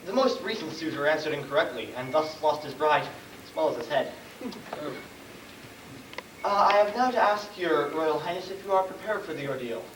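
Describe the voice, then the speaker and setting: A man's voice: a little high, lively intonation, moderate pace, natural voice. A man playing a pompous royal chancellor in an amateur stage comedy, speaking with formal, wordy ceremony. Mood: ceremonious. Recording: distant, echoey, noisy stage recording.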